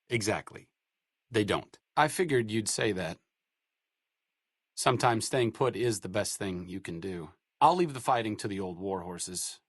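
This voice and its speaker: A dry, sarcastic wasteland doctor. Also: male voice, mid-range voice, fairly bright tone, dry recording. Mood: wry. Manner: dry, sarcastic, self-deprecating wit. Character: sardonic bookish male wasteland doctor, adult. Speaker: game companion character. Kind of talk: video game voice acting.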